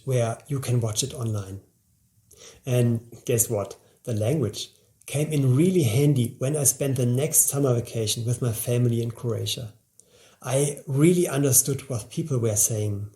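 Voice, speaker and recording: Male presenter, 40s, for a motivational promotional narration. Mellow timbre with noticeable fry, talks straight to the listener. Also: medium pitch, normal speed. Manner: motivational, direct address to the listener. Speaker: presenter promoting his language course.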